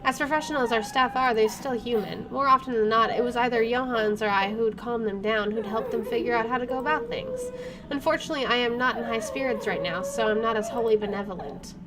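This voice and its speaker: A woman's voice: on the high side, quick pace, a loud crowd. A woman game streamer reading visual novel narration aloud, with her own asides. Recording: clearly noisy home recording.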